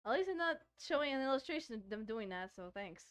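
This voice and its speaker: A woman's voice: fairly high pitch, lively intonation, quick pace, clear, resonant tone. A woman gaming streamer doing casual let's-play commentary, reading a visual novel aloud and often stumbling and repeating words as she reads.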